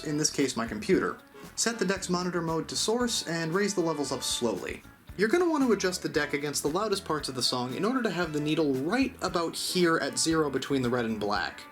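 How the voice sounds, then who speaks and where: A man's voice: on the high side, fairly bright tone. A man narrating a casual, step-by-step tutorial in a conversational, mildly self-deprecating way. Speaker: host of an audio gear channel.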